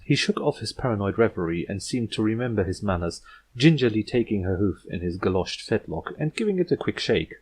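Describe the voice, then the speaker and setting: A man's voice: fairly low pitch, lively intonation, fairly quick, darkish tone, slight background noise, rough recording. A man reading scripted fiction aloud as an audiobook narrator.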